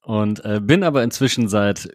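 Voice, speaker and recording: A man's voice: lowish voice, moderate pace, no room sound, clean studio sound. A man in his thirties from Germany, a podcast guest who answers with a hesitant manner and many fillers. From Germany (Wuppertal).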